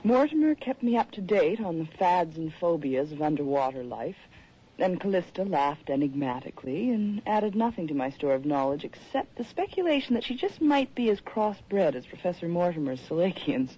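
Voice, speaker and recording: Low, mellow, reflective woman. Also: sing-song, moderate pace, breathy edge. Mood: brooding, reflective. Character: moody, reflective city woman narrating her strange tale. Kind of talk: first-person radio drama narration. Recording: dull, hissy radio drama recording.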